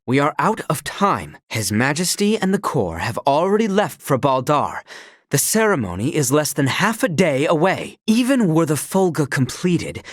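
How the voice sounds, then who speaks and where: Earnest, formal young knight with expressive range. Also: male voice, medium pitch, no room sound, studio quality. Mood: resolute. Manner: formal, stately, earnest delivery. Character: loyal, earnest, duty-bound young male knight. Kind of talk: video game voice acting, formal archaic dialogue.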